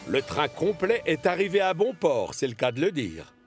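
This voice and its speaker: Lively male cartoon narrator. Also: a little high, moderate pace. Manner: lively, expressive storytelling. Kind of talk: scripted storybook narration. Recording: narration over music.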